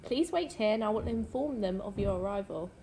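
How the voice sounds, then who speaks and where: A woman's voice: medium pitch, expressive, fairly quick, dark tone, slight background noise, natural voice. A woman playing a huge, sombre servant of a vampire castle in an amateur radio drama. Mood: formal, unhurried.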